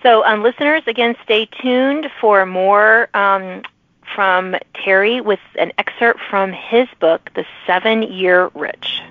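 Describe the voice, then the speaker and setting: A woman's voice: mid-range voice, slow, dry recording. A woman hosting a podcast interview conversationally, heard over a phone-like connection. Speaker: podcast host. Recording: tinny phone line, dry.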